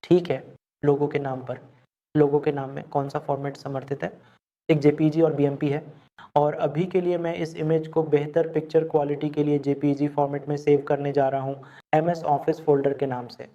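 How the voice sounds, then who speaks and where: A man giving a step-by-step software tutorial as a screen-recorded lesson. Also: a little high, flat delivery, normal speed, highs cut off. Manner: step-by-step instructional explaining.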